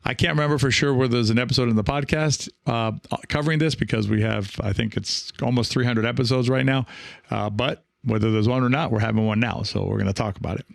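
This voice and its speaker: A man's voice: mid-range voice, fairly even intonation, fast, creaky, no room sound, clean studio sound. A man hosting a coaching podcast in a casual monologue, speaking in a hesitant, rambling way as he thinks aloud. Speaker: podcast host and pickleball coach.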